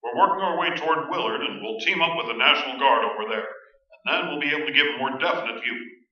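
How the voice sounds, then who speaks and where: A man's voice: medium pitch, expressive, quick pace, natural voice. A man playing an unflappable, folksy small-town sheriff who hunts ghouls in a staged radio play. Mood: matter-of-fact. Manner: folksy, matter-of-fact, laconic. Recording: very echoey stage, distant dull pickup.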